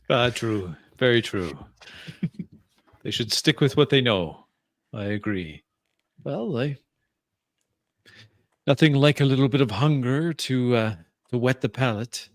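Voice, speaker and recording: A man's voice: mid-range voice, animated, takes it slowly, long pauses, no echo. A man playing a genial French professor from Paris in a tabletop game, with a French accent and a gracious, formal manner. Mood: sociable, amused.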